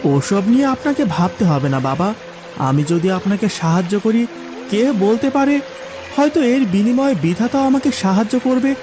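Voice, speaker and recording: A man's voice: high voice, expressive, normal speed. A man playing a poor, kind-hearted boatman in a cartoon, earnest and plaintive. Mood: kind, resigned. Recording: music behind the voice.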